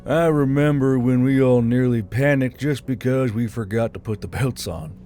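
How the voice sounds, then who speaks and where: A man's voice: mid-range voice, lightly acted. Cautious practical engineer voiced by a man, muttering. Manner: muttering, quiet, instructing. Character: cautious, practical male engineer testing a machine. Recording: music behind the voice.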